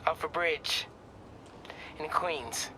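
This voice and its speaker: A young male actor playing a sheepish, halting teenage rookie superhero in a video game. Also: on the high side, low-quality recording. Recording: music behind the voice.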